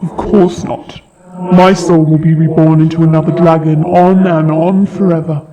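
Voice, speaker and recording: A man's voice: on the high side, level tone, moderate pace, dull audio, crowd noise, natural voice. A man voicing a haughty, sardonic dragon in an audio roleplay, with a taunting, theatrical delivery. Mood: dryly impatient. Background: fantasy game-style music.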